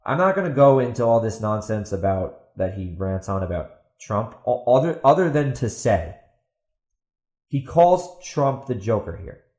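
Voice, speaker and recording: A man's voice: medium pitch, big pitch swings, measured pace, darkish tone, echoey room. A man hosting a podcast, giving opinionated commentary in a sarcastic, emphatic way and repeating himself for stress. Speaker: podcast host and author.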